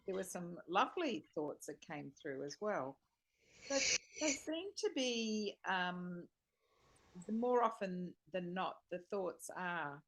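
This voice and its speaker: A woman taking part in a study discussion, sharing reflections in a tentative, thoughtful way with pauses. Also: fairly low pitch, creaky, low-quality recording. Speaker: study group participant.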